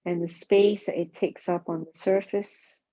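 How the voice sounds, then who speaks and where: A woman guides a body scan meditation, speaking calmly and gently in short, spaced phrases. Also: fairly low pitch, a little slow. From Singapore. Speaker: mindfulness meditation teacher. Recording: dull, muffled lo-fi sound.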